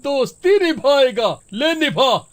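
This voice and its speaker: A man's voice: very high-pitched, exaggerated voice. A man playing a greedy young beggar turned killer, theatrical in delivery, in a horror storybook style. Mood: taunting, menacing. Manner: theatrical, whiny pleading turning to taunting. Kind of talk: animated horror storybook acting.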